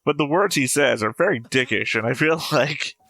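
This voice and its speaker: A man's voice: mid-range voice, moderate pace, sharp tone, no echo. A man hosting a casual reaction show, breaking down scenes with wry, blunt commentary.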